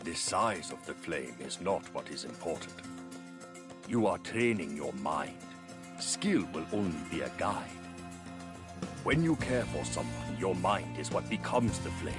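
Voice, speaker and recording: Deep-voiced, serene yoga master. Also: male voice, over music. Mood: gentle teaching. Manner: calm, measured, solemn, philosophical. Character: serene wise middle-aged male yoga master, patient and devout. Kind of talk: video game story cutscene acting.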